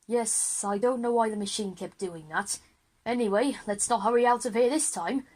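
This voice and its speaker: A woman's voice: on the high side, normal speed, darkish tone, natural voice. Woman doing a well meaning male history teacher, bit flustered, explains and apologises, kids audio drama. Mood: apologetic, reassuring.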